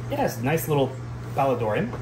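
A man's voice: mid-range voice, sing-song, a little fast, echoey room, background noise. A man with a French accent, a native French speaker, explaining an aquascape in an easygoing, casual way inside an aquarium shop. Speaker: shop staff. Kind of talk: casual conversation.

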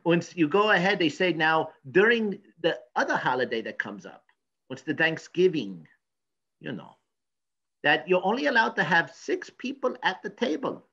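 Man acting pompous male expert, comedy sketch, higher-pitched, stammering rants, cranky, a bit lo-fi. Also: sing-song, normal speed, vocal fry, pushed and bright, breathy edge, exaggerated voice. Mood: rambling advice. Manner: rambling, stammering, blustery. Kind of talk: improvised mock news interview comedy.

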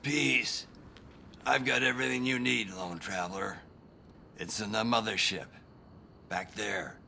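An actor playing a serene hippie drug grower with slow, spacey speech. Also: male voice, lowish voice, creaky, pushed and bright, slight background noise, full character voice.

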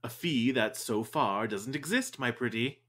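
A man's voice: medium pitch, animated, unhurried, a bit bright. A man playing a florid, droll, fondly reluctant sidekick in a noir radio drama, with courtly arch speech.

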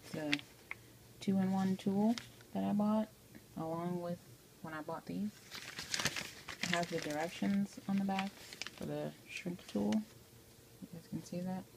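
A woman's voice: fairly low pitch, measured pace, creaky, pauses often, noisy background. A woman giving a casual step-by-step tutorial in an informal way, often checking in with the viewer, recorded on a handheld phone in a home kitchen. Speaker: amateur how-to video maker. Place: home kitchen, indoors at the stove. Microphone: handheld phone behind the camera.

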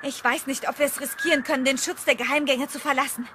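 A woman's voice: high-pitched, steady tone, quick pace, heavy background noise, lightly acted. A woman playing a frightened, urgent young woman fleeing danger in a German horror radio drama. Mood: anxious. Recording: music under the dialogue.

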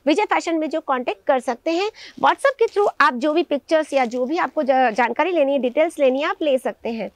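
A woman's voice: high voice, no echo. A young woman hosting a saree showcase, chatting enthusiastically and speaking straight to viewers in a shop. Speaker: host presenting sarees. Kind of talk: casual product showcase.

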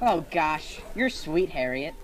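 A woman's voice: sits low, expressive, slow pace, a loud crowd, very noisy. A woman playing a gawky, eager teenage schoolboy in old-time radio comedy style, hesitant and earnest. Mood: bashful, smitten. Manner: hesitant, gee-whiz earnest.

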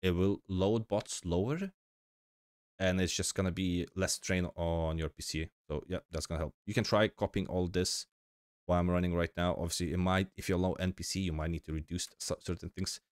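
A man's voice: low-pitched, steady tone, moderate pace, smooth, dry recording, studio quality. A young man with a cold and a slightly crackly voice, rambling casually with lots of fillers as he explains game settings over his gameplay. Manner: rambling, informal, frequent fillers and restarts. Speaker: gaming channel host.

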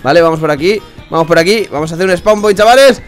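A young man hosting a casual game playthrough, excitable and quick to shout and swear. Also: high-pitched, faint music behind. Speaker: gaming channel host. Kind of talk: casual let's play chat.

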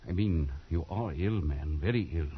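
An actor playing a concerned, sensible English gentleman in an old radio drama, earnest and urging. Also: sits low, normal speed, close to an everyday voice. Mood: worried, reasoning. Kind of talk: 1940s radio drama acting. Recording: muffled, noisy old radio recording.

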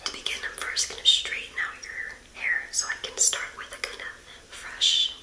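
Whispering young woman, ASMR hair stylist. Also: roomy sound. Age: young adult. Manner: soft, slow-spoken whispering to the listener. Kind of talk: ASMR roleplay.

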